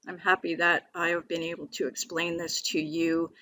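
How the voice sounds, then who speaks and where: A woman's voice: lowish voice, level tone, normal speed, pushed and bright. A woman with a scratchy voice from a cold, explaining physics equations on screen in a hesitant way, with many fillers and restarts. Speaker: independent physics video maker. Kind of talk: walking through equations on screen.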